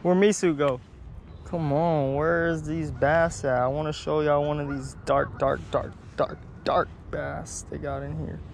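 A man casually vlogging outdoors as he fishes, talking in an excitable, slangy way. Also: a little high, a little slow, vocal fry. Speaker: fishing vlogger. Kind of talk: casual outdoor vlog chat. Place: outdoors beside a pond. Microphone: body-worn action camera. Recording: noisy outdoor action camera audio.

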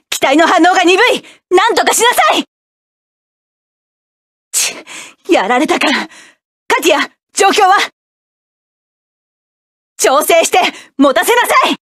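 A woman voicing a proud, hot-tempered young mecha pilot, barking sharp, clipped orders in game battle lines. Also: very high voice, no echo, studio quality, exaggerated voice. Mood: commanding, tense.

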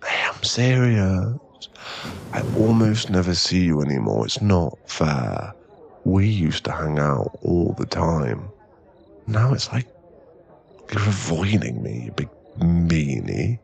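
A man playing a drunk, clingy, lovesick boyfriend in an intimate ASMR roleplay, with halting, pleading speech and a husky, gravelly voice. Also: low voice, sing-song, heavily acted. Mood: tipsy, playful. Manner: tipsy, halting, drawn-out, pleading delivery.

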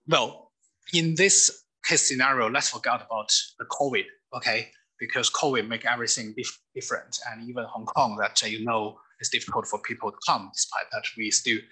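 A man's voice: mid-range voice, steady tone, moderate pace, pushed and bright, breathy edge, rough recording. A middle-aged man from mainland China with a Chinese accent, giving a webinar lecture from his office over a webcam, with frequent fillers and restarts. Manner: hesitant, many fillers and restarts.

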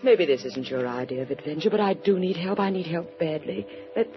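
A woman's voice: on the low side, expressive, a little fast, faint music behind, natural voice. Actress as a worried woman, blackmailed, pleading, 1940s radio drama, dull old sound. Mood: tense, pleading. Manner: urgent, pleading. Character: anxious, guarded woman being blackmailed.

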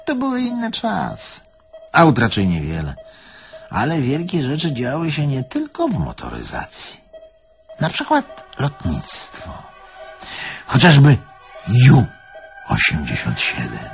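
An actor playing a nostalgic, proud older German man in an audio drama, speaking in a theatrical, deliberate, halting way. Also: medium pitch, wildly swooping pitch, creaky, soft background music, full character voice. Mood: condescending, wistful. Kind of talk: Polish audio drama acting.

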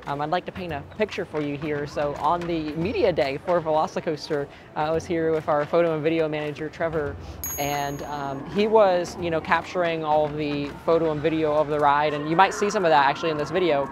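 Hoarse young man, quick theme park vlog. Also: a little high, fairly even intonation. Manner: chatty, frequent ums and uhs. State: hoarse, voice cracking. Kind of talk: casual tips vlog to camera. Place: outdoors in a busy theme park. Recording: outdoor crowd and ride noise behind.

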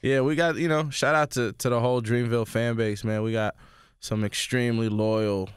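A young man with a New York accent, originally from Sudan, talking casually as an interview guest in a radio studio. He speaks in a relaxed way and repeats words as he thinks. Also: medium pitch, moderate pace, smooth, dry recording. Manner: laid-back, repeats words while thinking. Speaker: rapper guest. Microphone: studio mic.

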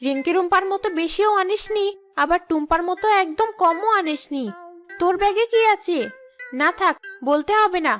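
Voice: extremely high pitch, normal speed, fairly bright tone, soft background music. A performer voicing a bossy female ghost teacher in a children's cartoon, scolding and sarcastic. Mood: brisk.

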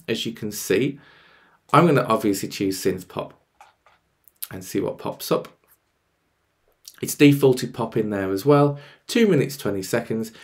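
A man in his thirties with a mid-pitched, slightly croaky voice. He presents a casual screen-share tutorial, explaining each step and thinking aloud as he clicks through. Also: darkish tone. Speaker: presenter of music tech tutorials.

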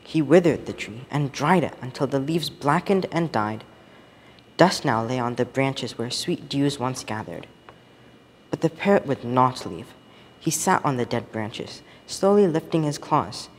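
Teen guy reading a story out loud, measured and expressive, roomy reverb, bit of background noise. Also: medium pitch. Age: teenager. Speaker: student story reader. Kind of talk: scripted storytelling read aloud.

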